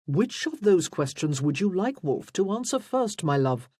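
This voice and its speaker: A narrator acting a jovial young gentleman in a period romance audiobook. He banters heartily and teasingly, with very animated pitch and a somewhat husky voice. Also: unhurried, no room sound, clean studio sound.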